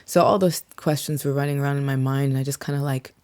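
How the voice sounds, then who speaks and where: A woman's voice: extremely low pitch, steady tone, quick pace. A young woman with a mixed accent touched by American and South African inflections, reflectively talking through her background as an interview guest, with many "um"s and "like"s. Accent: mixed, slight American and South African inflections. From England (Yorkshire). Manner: reflective, frequent "um" and "like", soft laughs. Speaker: guest singer-songwriter. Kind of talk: casual interview chat.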